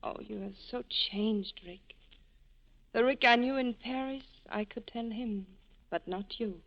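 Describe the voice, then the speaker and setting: A slightly high female voice plays a proud, sorrowful wife torn by love. Her delivery is earnest 1940s radio drama, with the muffled tone of an old broadcast. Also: a little slow. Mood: wounded, regretful. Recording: dull lo-fi vintage radio broadcast.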